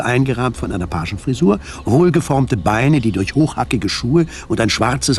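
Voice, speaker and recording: A male narrator reads scripted prose for a radio drama. His delivery is measured and literary at a middle pitch, with faint noise in the background.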